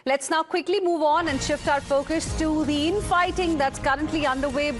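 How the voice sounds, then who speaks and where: High-pitched Indian woman news anchor. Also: fairly even intonation, moderate pace, soft background music. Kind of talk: live news presenting.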